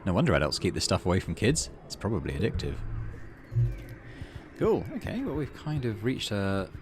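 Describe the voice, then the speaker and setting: Low-voiced man with lively, swooping pitch. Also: moderate pace, noisy background. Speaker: gaming channel host. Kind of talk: casual playthrough commentary mixed with reading game narration aloud.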